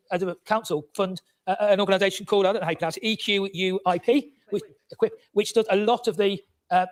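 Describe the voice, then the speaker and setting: High-voiced man councillor talking in a rush. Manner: earnest, halting with many fillers. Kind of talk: formal speech in a council meeting.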